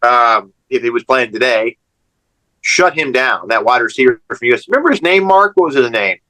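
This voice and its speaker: A man's voice: mid-range voice, expressive, brisk, sharp tone, rough recording. A man chatting casually and conversationally as a panelist on a sports radio show. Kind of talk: casual sports reminiscing and chat.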